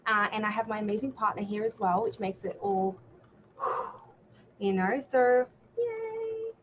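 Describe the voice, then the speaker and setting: A woman's voice: mid-range voice, animated, unhurried, muffled recording, background noise. An Australian woman chatting casually and effusively as a guest on an online show. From Melbourne, Australia. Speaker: podcast guest, cosplay artist. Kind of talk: casual chat. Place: remote online call.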